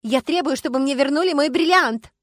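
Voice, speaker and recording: Woman acting a shrill, hysterical rich heiress. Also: big pitch swings, normal speed, no room sound, clean studio sound. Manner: demanding, indignant, shrieking. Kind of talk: audiobook character voice.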